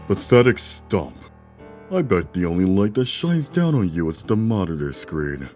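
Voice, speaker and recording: Haughty low-voiced young swordsman, played by a man. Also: very animated, moderate pace, darkish tone, faint music behind. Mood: contemptuous. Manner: contemptuous, formal insults. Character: haughty, scornful young swordsman with a short temper. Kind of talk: audio drama acting.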